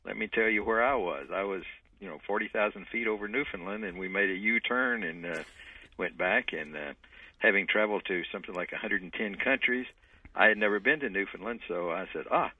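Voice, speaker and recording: A man's voice: fairly low pitch, brisk, no echo, far from the mic. A man with a Texan accent, speaking on a radio interview as a guest speaker, telling his story in an easygoing way. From Texas. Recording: dry tinny phone line.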